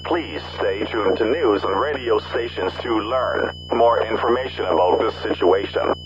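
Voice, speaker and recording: A man playing a serious radio announcer, reading scripted news and emergency warnings in a formal, measured way. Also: on the low side, close to an everyday voice. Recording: muffled radio with clear humming noise.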